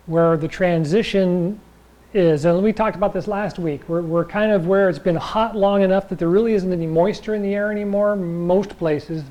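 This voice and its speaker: A man teaching a chi gung and tai chi class in a loose, rambling way, with a slightly raspy voice and frequent check-ins with his listeners. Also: sits high, warm tone, poor audio. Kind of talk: casual class talk.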